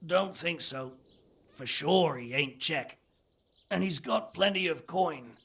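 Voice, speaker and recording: Gravelly, husky captured bandit. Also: male voice, a little high, expressive, takes it slowly, fairly bright tone, exaggerated voice. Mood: wry, candid. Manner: rough colloquial speech with ain't and lad. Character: captured male bandit, resigned, world-weary and wry. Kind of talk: dramatic video game dialogue.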